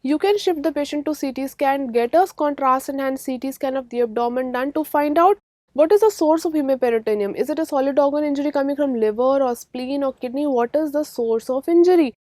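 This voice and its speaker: High-pitched Indian woman lecturing. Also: fairly quick, warm tone, no echo, clean studio sound. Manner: emphatic, repeats key points, checks understanding with questions. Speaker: radiology lecturer for medical exam students. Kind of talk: exam-prep lecture.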